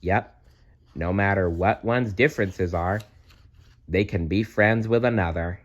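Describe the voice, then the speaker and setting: A man reading a storybook aloud with expressive narration and personal asides. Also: sits low, a little slow, highs cut off. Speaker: storybook reader.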